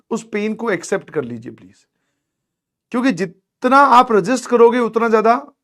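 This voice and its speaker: Hoarse high-voiced male teacher coaxing students. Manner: coaxing, keeps checking students follow, often says please. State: hoarse, strained throat. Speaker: coaching teacher. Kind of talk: motivational advice to students in an online class.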